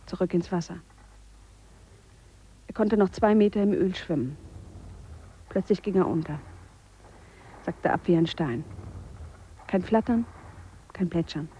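An actress playing an earnest, reflective Swiss woman biologist who speaks a measured monologue in a radio play. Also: lowish voice, mellow, husky, dull audio. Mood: somber. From Switzerland. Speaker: biologist character. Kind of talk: radio drama monologue, spoken letter.

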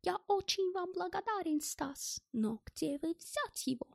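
Женщина озвучивает в аудиокниге пожилого робкого и эмоционального немца, который с немецким акцентом говорит на ломаном языке. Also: female voice, very high-pitched, expressive, vocal fry, a little mellow, no echo, clean studio sound, pitched above the performer's own voice, heavily acted. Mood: relieved, grateful. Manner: plaintive, emotional, halting foreign phrasing.

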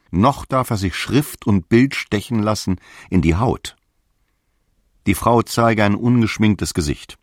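A stern prophet of the early church, very low in pitch and captured dry and close. He proclaims doctrine in grave, archaic, measured phrases, with fervent authority, as in a radio play. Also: no room sound. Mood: strict, commanding. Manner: grave, commanding, measured preaching. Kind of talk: solemn archaic radio drama monologue.

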